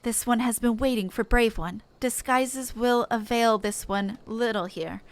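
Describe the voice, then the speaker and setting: A woman's voice: a little high, a little slow, dry recording, natural voice. A woman voicing an earnest forest sylph from a fantasy game, speaking in stilted, formal phrases. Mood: earnest, resolute.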